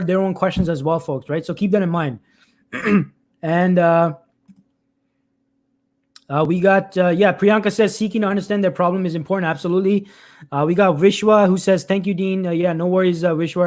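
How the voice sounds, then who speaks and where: A man with a high-pitched voice hosts a weekly career live stream and reads viewers' comments aloud. He talks loosely, restarting and repeating himself. His voice is wearing thin, and he keeps clearing his throat. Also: steady tone, a little fast, darkish tone.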